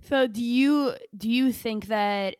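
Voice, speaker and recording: A woman's voice: fairly high pitch, takes it slowly, a little mellow, clear, resonant tone. A woman hosting an interview, asking searching questions and rephrasing them as she goes. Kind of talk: conversational interview.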